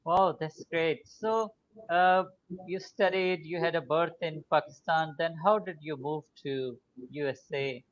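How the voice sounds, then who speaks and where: A man hosting a live online interview, welcoming his guest politely and filling pauses with "uh". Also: a little high, dull audio, a little hiss or hum. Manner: courteous, many fillers. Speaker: host.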